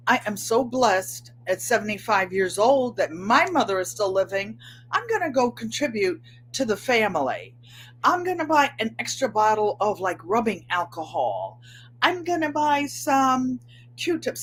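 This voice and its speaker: A woman in her seventies with a New England accent, slightly nasal and twangy, chatting excitedly to viewers as host of her livestream from a room at home. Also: on the high side, slight background noise, rough recording. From Connecticut, USA. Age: 70s. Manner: chatty, excitable, gestures with hands. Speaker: channel host. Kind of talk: casual livestream chat.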